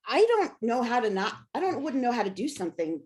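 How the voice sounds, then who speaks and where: A woman's voice: fairly high pitch, big pitch swings, a little fast, darkish tone. An American woman, a scholar, answering interview questions casually, thinking aloud and interrupting herself. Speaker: scholar and author.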